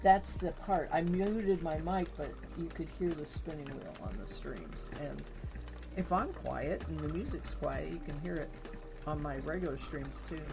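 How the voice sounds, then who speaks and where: Unhurried woman with a soft, calming voice. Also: sits low, creaky, noisy background. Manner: unhurried, chatty, laughs easily. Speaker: livestream host spinning yarn. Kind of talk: casual chat with live viewers. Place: home crafting room with spinning wheel.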